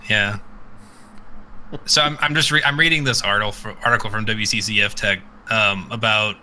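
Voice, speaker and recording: A man's voice: lowish voice, fairly even intonation, normal speed, bright, forceful tone, a little hiss or hum, rough recording. A man chatting casually as a podcast host, blunt and profane, rambling through his opinions. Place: live multistreamed podcast.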